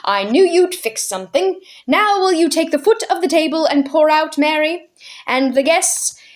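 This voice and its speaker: A woman voicing a jolly, eccentric older gentleman who sounds merry and bubbly. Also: high-pitched, animated, normal speed, pitched above the performer's own voice.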